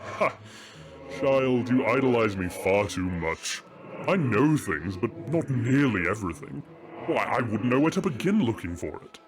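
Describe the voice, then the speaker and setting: A man playing a wise, teasing middle-aged father king in a fantasy audio drama, measured and playful. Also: medium pitch, big pitch swings, noisy background. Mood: amused. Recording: music under the voice.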